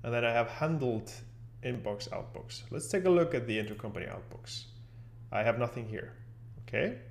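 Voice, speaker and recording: A male software instructor with noticeable vocal fry and a mid-range pitch. He talks through an on-screen demonstration informally, thinking aloud step by step in an unscripted way. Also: animated, moderate pace. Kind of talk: unscripted screen-share tutorial narration.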